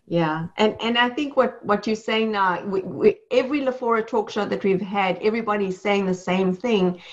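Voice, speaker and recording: A woman's voice: mid-range voice, moderate pace, highs cut off. A woman hosting a talk show panel, affirming her guests in brief remarks.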